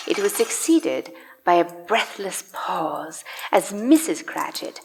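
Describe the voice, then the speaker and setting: A woman's voice: lowish voice, fairly bright tone, slight background noise. A woman narrating a Victorian tale as the scripted storyteller of a live radio play. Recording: live in a reverberant church hall.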